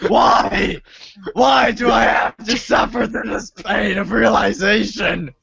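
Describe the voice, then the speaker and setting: An anguished boy acted by a man laments in overblown comic-book despair. The voice is high, slow and gravelly, wailing melodramatically. It sounds slightly muffled and lo-fi. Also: fairly even intonation, bright, forceful tone, shouting, exaggerated voice.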